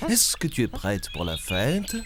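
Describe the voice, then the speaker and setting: Kingsley, a lively cartoon storyteller. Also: male voice, on the low side, measured pace, very noisy. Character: friendly adult male host, Kingsley. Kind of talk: children's cartoon narration. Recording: cartoon with music underneath.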